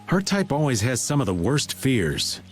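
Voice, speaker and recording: A man's voice: medium pitch, very animated, normal speed, soft background music, close to an everyday voice. A man playing a teasing, easygoing middle-aged adventurer in a video game, with a folksy, playful drawl. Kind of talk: video game dub acting.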